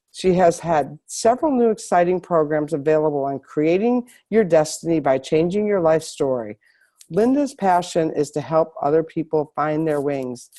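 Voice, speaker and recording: Woman, host, low voice, mellow tone, hoarse from a cold, halting online chat. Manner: halting, with many restarts. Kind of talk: online symposium interview.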